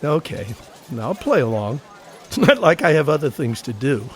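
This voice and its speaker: A man's voice: on the high side, very animated, moderate pace. A man acting in an audio drama as a breezy, broke beach sketch artist with wry, casual banter. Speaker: actor.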